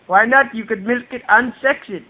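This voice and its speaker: A man's voice: very high-pitched, fairly even intonation, pitched above the performer's own voice. A man putting on a brash foreign carpet seller in broken English, making a prank phone call. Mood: pushy. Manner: insistent, rude, fast-talking hard sell. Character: pushy foreign male street trader, brash. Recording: tinny phone line.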